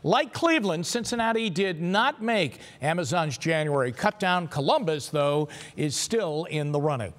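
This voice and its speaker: High-pitched American male news anchor. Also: expressive, moderate pace, few pauses, fairly bright tone. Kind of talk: scripted news reading. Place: TV studio.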